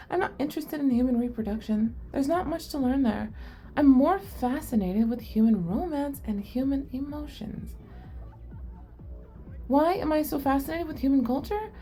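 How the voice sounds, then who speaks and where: A woman's voice: high voice, dark tone. A woman playing a shy, curious, nerdy young goblin girl in an ASMR roleplay, speaking earnestly and expressively to the listener.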